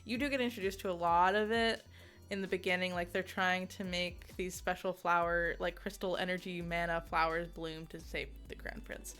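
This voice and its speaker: A woman giving a casual, chatty game review, giggly and excitable, with rambling asides. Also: fairly low pitch, normal speed. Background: music.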